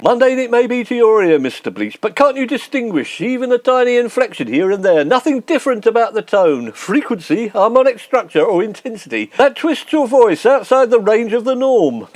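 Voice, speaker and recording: A man's voice: very high voice, very animated, normal speed, hardly any pauses, no echo. An actor playing a pompous, sarcastic, snobbish English man, blustering and mock-reassuring in an old-fashioned radio sitcom style. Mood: mock-patient.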